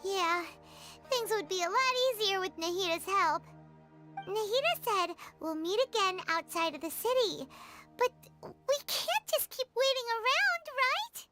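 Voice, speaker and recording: A woman voicing a tiny, anxious, excitable fairy companion in a video game, chattering in a squeaky childlike voice. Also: unhurried, heavily acted. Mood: pondering, eager. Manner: squeaky, chattering, refers to herself in third person. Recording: music under the voice.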